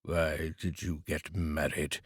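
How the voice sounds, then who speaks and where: A man's voice: rumbling low, flat delivery, takes it slowly, no room sound, clean studio sound, voice pushed down, exaggerated voice. A man voicing a grumpy, miserly old uncle in a storybook reading, growling, curt and scornful. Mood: irritated.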